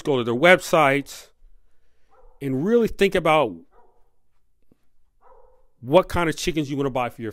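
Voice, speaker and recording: A man hosting a video, giving unscripted commentary in a halting way, repeating and stressing his points. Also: on the high side, a bit bright. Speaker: video host.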